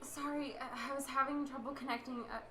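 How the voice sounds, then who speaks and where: A woman's voice: high-pitched, level tone, fairly quick, a bit bright. A woman playing a frightened, sarcastic field reporter in a radio play. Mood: shaken. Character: frightened, sarcastic female field reporter amid societal collapse. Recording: roomy echo with faint noise.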